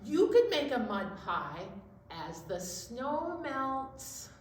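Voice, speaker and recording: A woman's voice: high voice, sing-song, takes it slowly. A woman hosting children's storytime, chatting and asking simple questions for young children. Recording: distant mic, roomy echo, noticeable noise.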